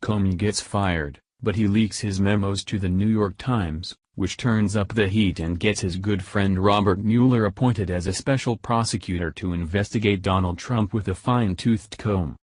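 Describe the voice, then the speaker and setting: A man's voice: fairly low pitch, fairly even intonation, moderate pace, few pauses, darkish tone, dry recording. A man reading scripted political news as a voice-over narrator.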